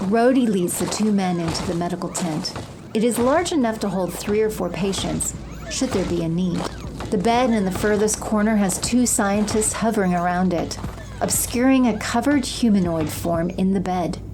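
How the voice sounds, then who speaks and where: A woman's voice: mid-range voice, moderate pace, noisy background. A woman reading scripted narration for an audio drama in the present tense. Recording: music bed behind the voice.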